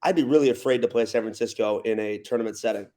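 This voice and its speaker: A man's voice: lowish voice, quick pace, warm tone. A man guesting on a sports podcast, chatting casually and emphatically, repeating himself to press a point. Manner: emphatic, repeats questions for effect. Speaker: podcast guest, arena PA announcer.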